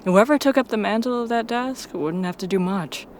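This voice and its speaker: A woman's voice: on the high side, moderate pace, darkish tone. A woman reading scripted first-person story narration. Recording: faint background noise.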